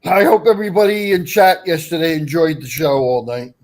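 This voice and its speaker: A man with a high-pitched voice chats casually on a livestream. He rambles, repeats himself and talks bluntly, swearing as he goes. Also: animated, brisk, darkish tone. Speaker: livestream show guest.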